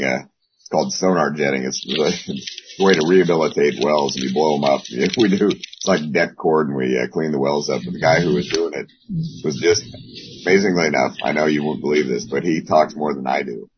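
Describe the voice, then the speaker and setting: Hoarse man, Bible class teacher, very low husky voice, rambling delivery, dull lo-fi call audio. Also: a little hiss or hum. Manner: rambling, with many pauses. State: hoarse, losing his voice.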